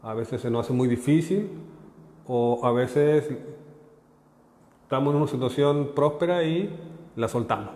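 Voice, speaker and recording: A Chilean man giving a live workshop talk as a consultant. He speaks haltingly, repeats words and tags his phrases with checks to the audience. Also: medium pitch, dark tone. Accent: Chilean, partly Mexican. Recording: echoey room with long reverb.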